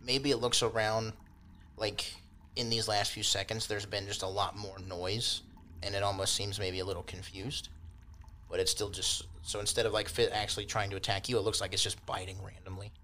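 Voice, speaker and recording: Male game master, lowish voice, casual improvised narration, slight background noise. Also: level tone, moderate pace, sharp tone. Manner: informal, loosely improvised descriptions.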